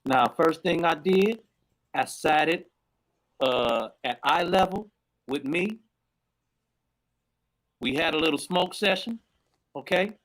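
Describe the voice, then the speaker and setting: A man's voice: fairly high pitch, slow, long pauses, fairly bright tone. Laid-back man telling a story. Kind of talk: casual chat.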